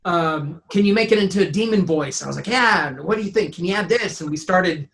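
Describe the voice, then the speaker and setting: A man's voice: high voice, lively intonation, a little fast, gravelly, fairly bright tone. A man talking casually in an interview, rambling and thinking aloud with enthusiasm. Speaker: sound designer and composer; teacher.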